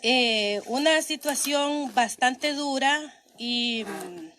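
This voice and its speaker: A woman with a high, bright voice answers questions outdoors. She speaks earnestly and with resolve. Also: very slow, a little hiss or hum. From Honduras. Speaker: interviewee.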